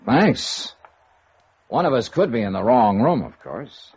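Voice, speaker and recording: An actor playing a wry, probing insurance investigator in a 1950s radio noir drama, with a dry, sardonic manner. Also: male voice, mid-range voice, very animated, measured pace, a little mellow. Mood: wry, guarded. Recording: muffled, hissy vintage radio recording.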